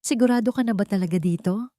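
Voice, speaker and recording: A woman voicing a gentle, supportive man in an audio love story, measured and reassuring. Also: mid-range voice, no echo, studio quality, voice pushed up, natural voice. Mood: gentle concern. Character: gentle, supportive man, steadfast romantic partner.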